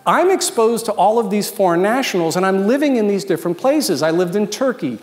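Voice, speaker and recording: An American man in his fifties with a notably high voice addresses a large, reverberant hall. He speaks as a keynote presenter, informal and conversational, dropping in jokes and emphatic asides. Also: animated, fairly quick, darkish tone. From Washington, D.C., United States. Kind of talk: informal after-dinner keynote talk. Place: large event hall with dinner tables, indoors. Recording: echoey hall with long reverb.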